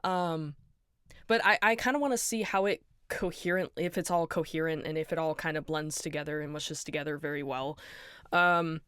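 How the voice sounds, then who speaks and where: A woman rambling casually about her fan project, tired and apologetic, hoarse and nasal from being sick, on a close home mic. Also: deep voice, normal speed, fairly bright tone, no echo. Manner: rambling, apologetic, tired, self-deprecating laughs. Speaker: fan animator and voice actor. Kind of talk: casual behind-the-scenes rambling.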